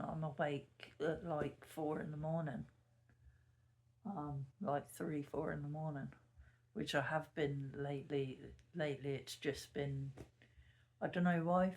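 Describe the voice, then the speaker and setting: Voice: medium pitch, vocal fry. A YouTuber chatting casually to the camera at home early in the morning, meandering and self-correcting, a bit sniffly. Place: sitting at home indoors. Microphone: camera mic.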